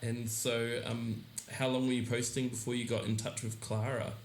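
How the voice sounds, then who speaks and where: A man's voice: mid-range voice, level tone, moderate pace, noisy background, lightly acted. An actor playing a polite, slightly hesitant man who hosts an investigative podcast in an audio drama. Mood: friendly, curious. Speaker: podcast host.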